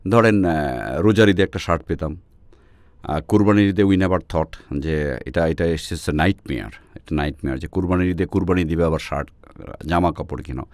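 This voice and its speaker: A man's voice: low-pitched, normal speed, darkish tone, no echo. A man from Bangladesh with a Bangladeshi accent, telling personal stories as an interview guest and often switching into English phrases. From Netrokona, Bangladesh.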